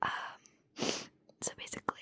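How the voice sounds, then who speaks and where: A woman's voice: unhurried, sharp tone, no echo. A young woman whispering a rambling late-night vlog into her phone at home, casual and full of swearing. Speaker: vlogger.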